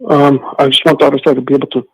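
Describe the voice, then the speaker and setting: A man calling in to a political show, arguing casually in a hedging, halting way full of filler phrases. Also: mid-range voice, little pitch movement, breathy edge, rough recording. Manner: hedging, halting, leans on "like" and "you know". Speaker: caller.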